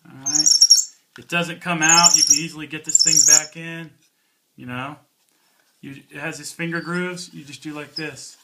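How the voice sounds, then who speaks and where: A man's voice: a little high, fairly even intonation, normal speed, very noisy. A man giving a casual hands-on product review in an informal, plainspoken, folksy way. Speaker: product reviewer, channel host.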